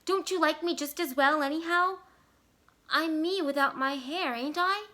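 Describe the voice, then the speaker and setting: A woman's voice: high-pitched, moderate pace, sharp tone, pitched above the performer's own voice. A woman voicing an eager, pleading, tender young wife in a dramatized short story reading, with a gushing, emphatic manner. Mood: anxious, pleading.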